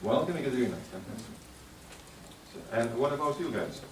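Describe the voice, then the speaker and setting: A man's voice: medium pitch, expressive, fairly quick, far from the mic. Male conference speaker, mid-range voice, encouraging but hesitant delivery, heard from afar in a noisy hall. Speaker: speaker addressing a youth conference. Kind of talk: motivational speech with audience questions. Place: large echoing hall at a conference ceremony. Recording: echoey hall from afar, background noise.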